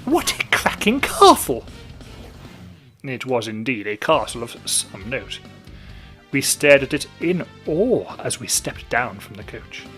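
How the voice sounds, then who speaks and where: Slow, lively dramatic male storyteller. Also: medium pitch, soft background music. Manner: dramatic, playful spooky storytelling. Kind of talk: scripted story narration.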